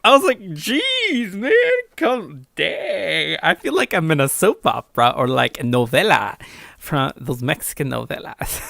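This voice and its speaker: A man's voice: fairly high pitch, sing-song, measured pace, creaky, pushed and bright, dry recording. A young man chatting casually and informally as he hosts a reaction video and reads comments, giggly and self-deprecating. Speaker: YouTube host reacting to own story.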